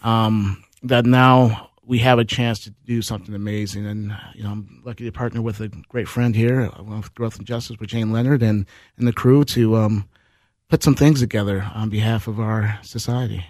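A man on a radio talk show, a nonprofit director, telling his story in a relaxed way with many "ums" and "you knows" and easy laughs. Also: on the low side, normal speed, dry recording. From Minnesota, North Side of Minneapolis. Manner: laughs easily. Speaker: guest, managing director of a nonprofit.

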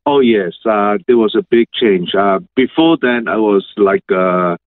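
A middle-aged Malaysian man with a lowish voice answers interview questions as a guest pastor. He picks his words in halting, measured phrases. The sound is thin and narrow, like a phone line, coming over a video call. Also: no echo.

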